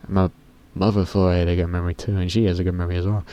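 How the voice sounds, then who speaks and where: A teenage boy with a very deep voice, rambling casually to camera in a vlog, often stopping himself mid-sentence and swearing offhandedly. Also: flat delivery, rapid-fire, dark tone. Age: teens. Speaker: YouTuber.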